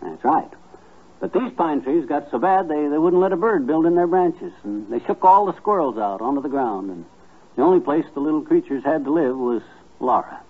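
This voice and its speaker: A man's voice: on the high side, lively intonation, moderate pace, breathy edge. An actor playing a kindly, folksy man telling stories to a child in homespun, hesitant speech, in 1950s radio comedy style. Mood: folksy, warm. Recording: muffled vintage 1950s radio recording.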